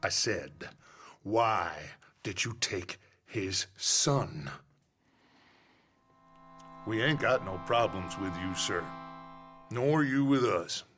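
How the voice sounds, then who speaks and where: Slow-talking grandiose outlaw boss. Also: male voice, lowish voice, expressive. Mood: firm, confrontational. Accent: American. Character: smooth, deliberate, grandiose American outlaw gang leader. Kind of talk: cinematic video game acting. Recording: music behind the voice.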